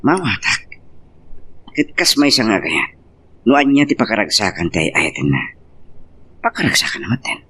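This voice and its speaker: A man's voice: fairly low pitch, very animated, moderate pace, pauses often, bright, forceful tone, slightly rough, full character voice. A man acting an earnest, lovelorn suitor in an Ilocano romance drama, persuasive in manner, with an Ilocano Filipino accent.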